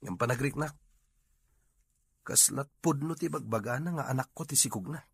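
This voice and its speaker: A man's voice: medium pitch, normal speed, creaky, breathy edge, dry recording. A man with an Ilocano Filipino accent playing an earnest young man who is torn and doubting, pleading and questioning. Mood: troubled. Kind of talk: radio-style comedy drama acting.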